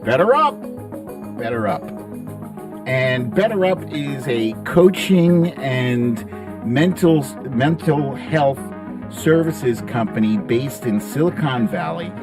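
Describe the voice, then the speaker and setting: A man's voice: medium pitch, slow pace, over music. A middle-aged man hosting a casual livestream, chatting with viewers in colloquial, salty phrasing on a close broadcast mic. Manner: animated, colloquial, salty street-talk phrasing. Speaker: livestream host. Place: home studio room, indoors. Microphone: close broadcast mic on boom arm.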